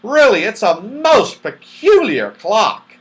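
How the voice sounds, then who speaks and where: A man voicing an eager, excitable round-faced magical fellow in a children's storybook, speaking emphatically. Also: high-pitched, slow pace, voice pushed up, exaggerated voice. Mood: astonished. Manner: emphatic, exclamatory.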